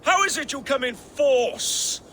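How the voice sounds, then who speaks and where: A man's voice: very high-pitched, lively intonation, measured pace, bright, forceful tone, slight background noise, exaggerated voice. An actor playing a haughty, defiant king in a video game, declaiming with scorn for invaders. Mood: haughty defiance. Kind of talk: video game dramatic acting.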